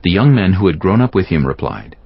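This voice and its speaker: A man narrating dramatized scripture as a measured storyteller. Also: low voice, big pitch swings, creaky, husky, dry recording. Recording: slightly dull sound, music behind.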